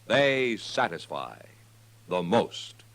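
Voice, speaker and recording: An American man delivering a sponsor slogan in an emphatic, deliberate 1950s radio advertising style. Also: on the low side, sing-song. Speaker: sponsor announcer. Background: music.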